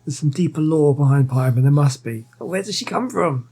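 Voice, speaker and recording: A woman's voice: deep voice, lively intonation, normal speed, mellow, slightly rough, soft background music. A woman giving casual, understated commentary as she plays through a video game, musing aloud. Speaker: gaming streamer.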